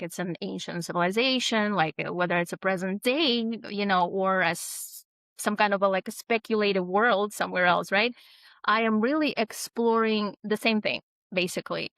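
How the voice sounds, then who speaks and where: A woman's voice: mid-range voice, a bit bright, no room sound. A woman author answering interview questions reflectively, often checking in with short tag questions. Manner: reflective, frequent tag questions like "right?" and "you know?". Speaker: author, podcast guest.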